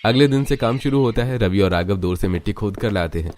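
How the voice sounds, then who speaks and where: A man's voice: fairly low pitch, expressive, fast, a little mellow. A man narrating a scripted cartoon story as its storyteller.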